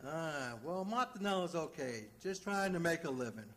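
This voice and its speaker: A lovable shaggy homicide cop in an old radio show, jokey, kinda high voice, really up and down. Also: male voice, expressive, moderate pace, fairly bright tone. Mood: casual, probing. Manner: jocular, folksy, needling. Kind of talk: old-time radio detective drama acting.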